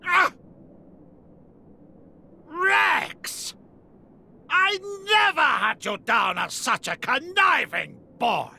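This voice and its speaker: An actor voicing a greedy, scheming little furry merchant villain in a game cutscene, blustering in broken grammar with a raspy voice. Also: sits high, big pitch swings, very slow, pauses often, sharp tone, shouting, full character voice. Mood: indignant, panicked. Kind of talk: video game cutscene acting.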